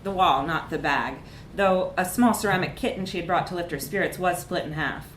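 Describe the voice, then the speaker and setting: A woman's voice: on the low side, brisk, echoey room, slight background noise. A woman reads a comic short story aloud at a live event, with wry, emphatic narration. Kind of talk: animated literary narration, live reading.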